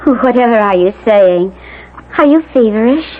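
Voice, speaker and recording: Tender high-voiced young noblewoman, acted by a woman. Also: animated, normal speed, narrow, tinny audio, natural voice. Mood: soothing, concerned. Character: devoted, anxious young noblewoman in love. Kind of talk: 1970s radio drama acting, romantic period melodrama. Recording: thin band-limited old radio, faint noise.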